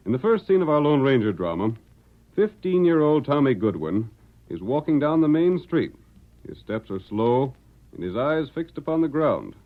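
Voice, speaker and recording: Grand old-time radio announcer, male, slightly high. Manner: grand, theatrical delivery. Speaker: announcer and narrator. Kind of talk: 1930s-40s radio announcing, scripted dramatic narration. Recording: dull vintage radio recording with music.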